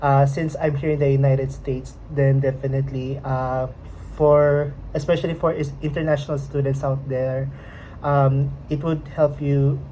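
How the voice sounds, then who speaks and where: Low-voiced Filipino woman, hesitant vlogger. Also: flat delivery, normal speed, dark tone, a little hiss or hum, low-quality recording. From Philippines. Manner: hesitant, searching for words, many fillers. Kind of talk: casual unboxing vlog. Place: home at night.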